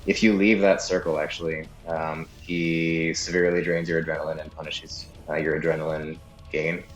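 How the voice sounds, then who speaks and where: A man's voice: very deep voice, level tone, moderate pace. A man giving a casual, unscripted video game walkthrough, explaining as he goes and often unsure of details. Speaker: guide narrator. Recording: slightly echoey room, game music underneath. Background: game music.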